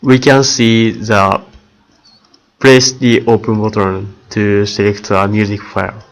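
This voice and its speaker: A male student gives a prepared software presentation. His voice sits a little low and he moves very slowly, in deliberate, halting English as a non-native speaker. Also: level tone.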